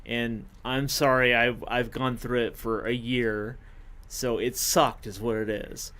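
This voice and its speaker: A man of fifty, a podcast guest, telling his own story in a casual, halting way with many fillers and self-corrections. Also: medium pitch, little pitch movement, a bit bright. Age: 50s.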